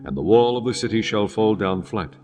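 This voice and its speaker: A man's voice: fairly low pitch, expressive, a little fast, a little mellow, in a large hall, close to an everyday voice. A man voicing the Lord in a dramatized scripture reading, giving formal commands in archaic English. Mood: commanding. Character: the Lord, commanding divine male voice. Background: guitar music.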